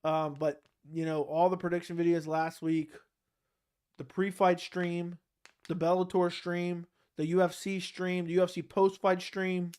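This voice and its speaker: A man with a fairly high-pitched voice talks through a casual livestream while nursing a sore throat. He reads viewer questions aloud and answers them bluntly, often with a flat one-word reply. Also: a little slow, clean tone. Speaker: livestream host answering chat.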